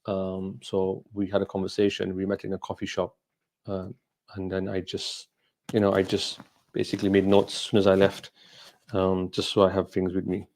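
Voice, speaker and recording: Low-pitched man, native Gujarati speaker, reflective. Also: completely flat delivery, normal speed, darkish tone. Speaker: Islamic scholar hosting a question and answer session. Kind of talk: casual informal question and answer talk.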